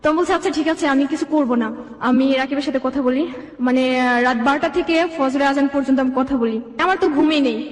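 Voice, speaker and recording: High-pitched young woman, rapid storytelling, echoey. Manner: run-on, detailed retelling with reported speech. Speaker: guest telling own life story. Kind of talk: conversational personal storytelling, quoting dialogue. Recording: echoey, reverberant sound.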